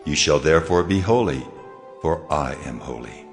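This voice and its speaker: An actor playing the Lord as God in a dramatized scripture reading, speaking in a solemn, commanding way. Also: male voice, extremely low pitch, lively intonation, normal speed, soft background music. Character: the Lord, divine male voice of God.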